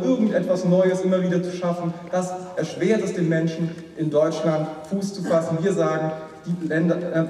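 A young man from Rhineland-Palatinate giving a formal, measured debate speech at a lectern, repeating phrases as he builds his case. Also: fairly high pitch, dark tone. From Rhineland-Palatinate, Germany. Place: large hall, at a lectern. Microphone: far camera. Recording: echoey hall recorded from far off.

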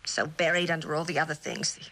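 An actress playing a neglected but loyal wife in a mid-century television drama, earnest and wistful. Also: female voice, sits low, flat delivery, fast talker, pushed and bright. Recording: noisy old television soundtrack.

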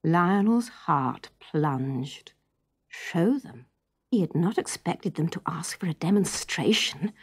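A woman's voice: fairly low pitch, animated, slow, breathy edge. A woman narrating a children's storybook as a storyteller.

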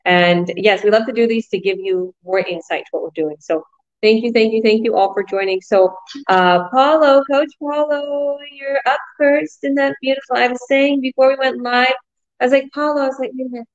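A woman hosting an online business talk over a video call, pitching with enthusiastic, repetitive sales energy. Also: steady tone, moderate pace. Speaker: host. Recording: muffled video call audio.

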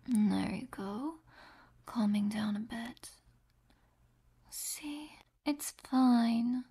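Woman doing a clingy, possessive captor girl in an audio roleplay, husky whisper with fry, slow and cooing. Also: fairly high pitch, heavily acted. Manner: soft whispering, cooing pet names. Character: two doting, possessive young women captors.

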